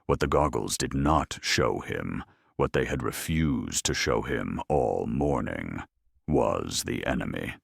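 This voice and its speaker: A man narrating a scripted dramatic story in a wry, deadpan manner. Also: extremely low pitch, takes it slowly, vocal fry, breathy edge, dry recording, studio quality.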